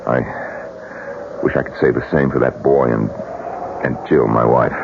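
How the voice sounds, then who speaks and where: An actor playing a shaken, testy widowed husband in a radio drama, curt and sardonic. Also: male voice, extremely low pitch, steady tone, moderate pace, vocal fry. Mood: testy, grieving. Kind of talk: 1970s radio drama acting. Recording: muffled, noisy old radio recording.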